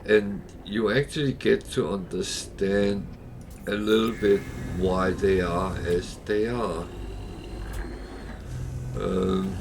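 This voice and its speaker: A man streaming a game, his voice husky and crackling today, thinking aloud with many fillers and pauses. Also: fairly low pitch. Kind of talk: casual gameplay commentary.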